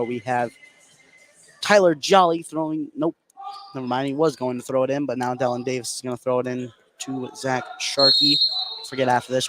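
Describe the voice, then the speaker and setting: An American man doing excitable live play-by-play basketball commentary for radio, narrating the action in running phrases. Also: medium pitch, poor audio. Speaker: play-by-play sports announcer.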